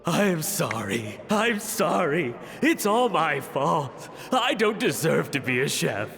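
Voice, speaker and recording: An actor playing a frail, guilt-ridden sick chef in a video game, his voice weak and croaky. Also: a little high, sing-song, slow, heavily acted. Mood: broken, remorseful. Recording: long dreamlike reverb, background music.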